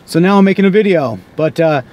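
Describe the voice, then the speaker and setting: A man's voice: sits high, animated, fast talker, a little mellow. A man in his thirties with an American accent, talking casually to camera in a rambling, stop-start way, as a contractor vlogging from a car. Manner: rambling, with frequent false starts. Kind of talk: casual unscripted vlog talk. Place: inside a parked car.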